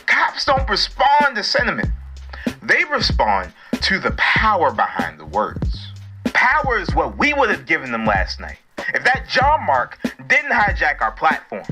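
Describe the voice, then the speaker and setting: A woman's voice: fairly low pitch, huge pitch swings, measured pace, pushed and bright, exaggerated voice. A woman playing a resentful, challenging activist who speaks emphatically as a caller on a radio drama's talk show. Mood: resentful, defiant. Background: music.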